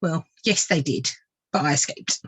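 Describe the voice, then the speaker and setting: A woman's voice: sits low, moderate pace, fairly bright tone, breathy edge, exaggerated voice. A woman voicing an eager, naive male bird in a children's storybook, cheerful, polite and innocent. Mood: innocent, chatty.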